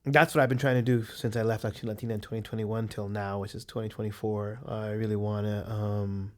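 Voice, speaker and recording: A man who grew up in San Francisco's Mission District, an artist answering interview questions in an earnest, halting way. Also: on the low side, flat delivery. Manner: earnest, hesitant, many fillers and restarts.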